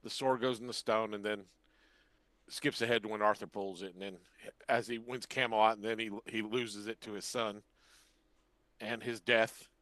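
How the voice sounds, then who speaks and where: A man's voice: fairly low pitch, fairly even intonation, moderate pace, a bit bright, no room sound, poor audio. An older man co-hosting a podcast, chatting and joking casually about films. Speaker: podcast co-host. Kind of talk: casual, joking group chat.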